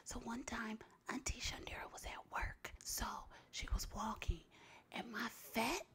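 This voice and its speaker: A woman's voice: fairly high pitch, measured pace, a bit bright, slightly rough. A woman from Los Angeles whispering blunt, sassy advice in an ASMR style. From Los Angeles, USA. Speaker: advice giver.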